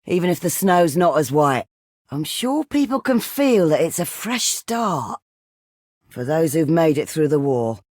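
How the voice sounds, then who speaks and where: A woman playing a calm, reflective, hopeful war survivor in a scripted game voice line. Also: low voice, no echo, studio quality, exaggerated voice. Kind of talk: scripted game character greeting.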